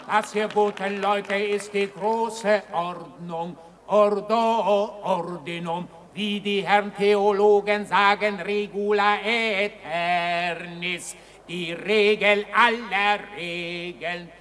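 An actress playing a mocking street ballad singer in a radio play, half singing and declaiming to the common folk. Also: female voice, mid-range voice, fairly even intonation, slow pace, fairly bright tone, soft background music. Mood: declamatory, sly. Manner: declamatory, theatrical, half sung.

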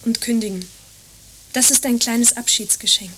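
Reticent, high-voiced young lodger. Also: female voice, normal speed, a bit bright, husky, rough recording. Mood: reserved, resolute. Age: young adult. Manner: reserved, short evasive answers. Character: reticent young female lodger, quietly evasive. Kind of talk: understated radio drama dialogue.

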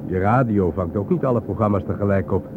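An actor playing a calm, cryptic guide who speaks in a measured, enigmatic way in a radio play. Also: male voice, on the low side, natural voice. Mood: earnest explaining. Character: calm, cryptic male guide named Hein, explaining mysteries. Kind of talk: Dutch radio play acting. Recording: noisy, narrow-band old radio recording.

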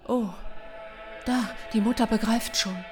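Actress as plaintive young woman, slow delivery. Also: a little high, steady tone, over music, natural voice. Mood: distressed. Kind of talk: slow symbolist radio play acting.

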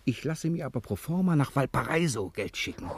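Crafty husband on the run, husky, very lively pitch, bossy n playful, old 60s radio play. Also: male voice, brisk, no echo. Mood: explaining plan. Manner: animated, conspiratorial, commanding. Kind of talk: 1960s German radio drama acting.

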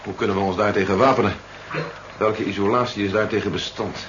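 Brusque army general, played by a male actor: lowish voice, quick delivery, curt and dismissive, vintage radio serial. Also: fairly even intonation, fairly bright tone, close to an everyday voice. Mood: grave. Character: gruff, brusque army general fighting alien invaders. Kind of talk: 1970s Dutch radio drama acting. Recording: noisy, dull old radio recording.

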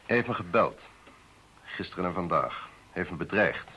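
Deep, hard-edged guarded politician. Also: male voice, animated, moderate pace, sharp tone. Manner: formal, guarded, evasive. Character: curt, secretive male politician under threat. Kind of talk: Dutch radio play acting. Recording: muffled, faintly noisy old radio recording.

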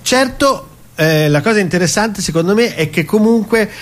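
Man, higher-than-average voice, talkative and explanatory, casual actor interview. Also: animated, normal speed. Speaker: cabaret actor being interviewed. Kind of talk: casual interview talk about his stage show.

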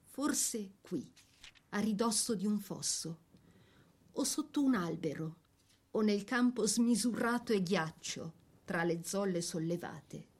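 A woman's voice: medium pitch, lively intonation, a little mellow, husky. Solemn woman narrator. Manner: solemn, measured storytelling with deliberate pauses. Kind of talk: scripted dramatic biographical narration for radio.

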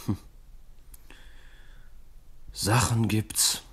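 An actor playing a calm, methodical police investigator in a 1970s East German radio crime drama, terse and matter-of-fact. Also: male voice, fairly low pitch, extremely slow, slightly rough, full character voice. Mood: sober, pensive.

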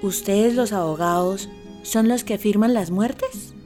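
A woman's voice: mid-range voice, slow, mellow, lightly acted. A woman with a Colombian accent playing brusque, combative characters theatrically in a radio play. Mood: stern. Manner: emphatic, theatrical. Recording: music running under the voice.

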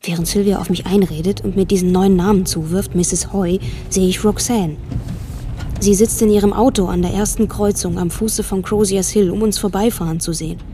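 An actress playing an observant, puzzled girl who narrates what she sees in a radio drama, in a hushed young voice. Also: medium pitch, fairly even intonation, moderate pace, natural voice. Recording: vehicle noise behind. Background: car ambience.

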